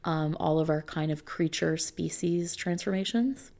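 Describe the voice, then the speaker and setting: A woman speaking softly and often near a whisper in an ASMR roleplay, gently reassuring like an attentive consultant. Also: sits low, a little slow, vocal fry. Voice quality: soft, hushed, often slipping into whispers. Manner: gentle, reassuring, attentive client-service talk. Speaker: ASMR roleplay performer playing a customization consultant. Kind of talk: soft-spoken ASMR roleplay.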